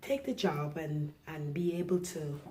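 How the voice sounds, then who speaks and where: Low-voiced reflective woman from Guyana. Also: slight background noise. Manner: soft-spoken, reflective, halting with fillers. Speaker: nurse, interview guest. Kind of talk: personal interview.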